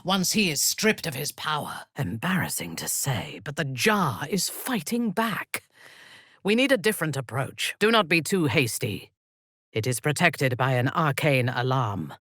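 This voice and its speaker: A woman playing a proud, ruthless female dark elf warrior in a video game, speaking in a cold, commanding, formally measured manner. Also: low-pitched, sing-song, breathy edge, no room sound, clean studio sound, heavily acted. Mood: dry. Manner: imperious, measured, formal phrasing.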